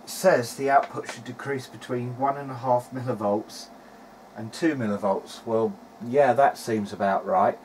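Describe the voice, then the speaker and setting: A man's voice: mid-range voice, steady tone, a little slow, darkish tone, a little hiss or hum, low-quality recording. A man giving a casual technical narration of an electronics repair as he works, thinking aloud a little hesitantly. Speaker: hobbyist presenter of an electronics repair video diary.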